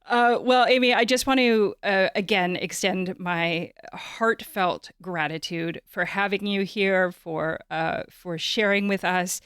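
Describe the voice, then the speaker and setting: Woman co-hosting, halting and close-miked. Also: mid-range voice, steady tone, a bit bright, clear, resonant tone, no room sound. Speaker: podcast co-host. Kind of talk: conversational podcast hosting.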